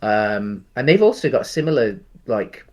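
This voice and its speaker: A man's voice: on the low side, very animated, moderate pace, lo-fi sound. A man co-hosting a podcast, giving his opinions in a casual film review chat in a hesitant, meandering way. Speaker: podcast co-host.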